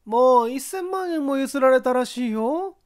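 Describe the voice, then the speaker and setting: A gossipy middle-aged masseuse from an audiobook mystery , put on by a woman reader. She chatters colloquially and emphatically in a high, animated voice, easily flustered. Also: measured pace. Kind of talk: acted audiobook dialogue.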